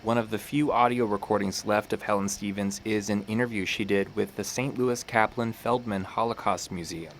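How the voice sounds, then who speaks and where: A man's voice: fairly low pitch, fairly even intonation, normal speed, few pauses, a little hiss or hum. A man hosting a history podcast, reading scripted narration with dramatic, playful storytelling. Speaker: podcast host.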